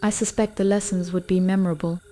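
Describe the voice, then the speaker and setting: An actress playing a sharp-tongued, alert elf archer with formal, controlled speech in a fantasy audio drama. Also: female voice, fairly low pitch, fairly even intonation, quick pace, slight background noise, close to an everyday voice. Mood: scornful.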